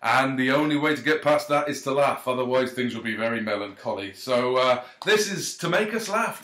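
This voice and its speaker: A man speaking at an ordinary middle pitch, chatting to the camera with lots of ums and uhs and the odd self-mocking joke. The sound bounces around a roomy, echoey space. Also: sharp tone. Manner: self-deprecating, jokey asides. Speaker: author. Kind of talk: casual direct-to-camera thank-you and book reading. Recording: roomy echo.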